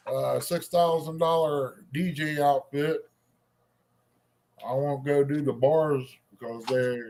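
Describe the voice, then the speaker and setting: A man's voice: medium pitch, darkish tone, slight background noise, poor audio. A man hosting a live chat stream, telling rambling stories with plenty of fillers. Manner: rambling storyteller, halting with fillers. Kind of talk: casual chat.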